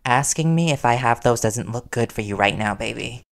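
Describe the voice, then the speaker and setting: Worried reporter boyfriend played by a man, audio roleplay; creaky, conversational, earnest and gently confrontational. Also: mid-range voice, animated, moderate pace. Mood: anxious, curious.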